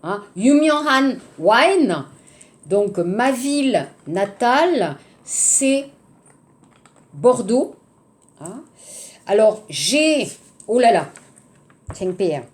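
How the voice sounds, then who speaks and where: French woman, 50s, French accent, language teacher, bright animated delivery, patient repetition. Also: fairly high pitch. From France. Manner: slow, repeats each phrase across languages, checks in with "hein?". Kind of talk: introductory language lesson.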